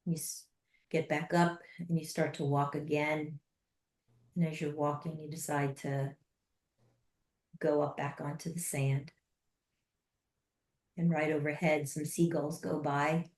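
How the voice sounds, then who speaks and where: Woman, low voice, flat and even, slow soft guided meditation, roomy echo. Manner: slow, soft and unhurried with long pauses.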